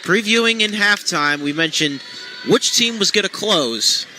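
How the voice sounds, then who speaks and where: High-pitched man on basketball color commentary. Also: expressive, unhurried. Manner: analytical, explains plays in detail. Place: courtside in a basketball arena. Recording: noisy live arena broadcast.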